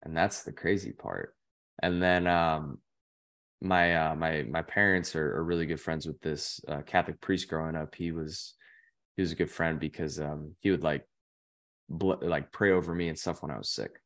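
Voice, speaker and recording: A man's voice: extremely low pitch, steady tone, moderate pace, a bit bright. A young man in his twenties, a podcast guest telling stories in a loose, rambling way full of "like" fillers. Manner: rambling, heavy use of "like" fillers. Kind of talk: casual chat.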